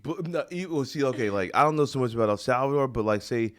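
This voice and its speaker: A man's voice: medium pitch, lively intonation, quick pace, noisy background. A man with an American accent hosting a casual radio interview, joking and talking in loose slang. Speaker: radio talk show host. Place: radio studio.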